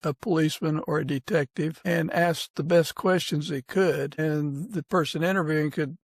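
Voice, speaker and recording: Male podcast interviewer, slightly high and creaky, conversational, recorded dry. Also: fairly even intonation, moderate pace, no echo.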